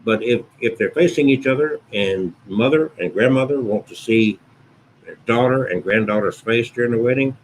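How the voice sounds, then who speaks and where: A man with a very low, booming voice explains how a ceremony usually runs. He talks plainly and at an easy pace, circling back to restate his points. A faint room hiss sits under the recording. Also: poor audio. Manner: unhurried, conversational, repeats and restates points. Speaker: wedding officiant. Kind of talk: instructional talk to camera.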